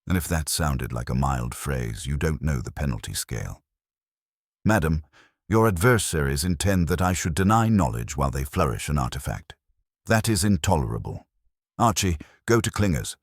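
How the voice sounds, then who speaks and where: Man acting an imperious detective, very deep voice. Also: dry recording, clean studio sound, exaggerated voice. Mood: stern. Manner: formal, precise, commanding diction. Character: imperious, pedantic, affronted older male genius detective.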